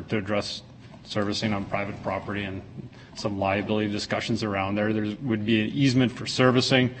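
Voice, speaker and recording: A man's voice: fairly low pitch, a little hiss or hum, poor audio. A man giving an informal, plain-spoken staff presentation to a council, explaining details as a city planner. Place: council meeting room.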